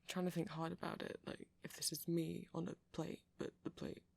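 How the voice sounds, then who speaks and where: A man's voice: fairly high pitch, flat delivery, a little fast, vocal fry, darkish tone, dry recording. A man playing a nerdy, kindly vampire who rambles hesitantly and reassuringly in an ASMR roleplay. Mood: absorbed, rambling. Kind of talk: ASMR roleplay, soft conversational monologue.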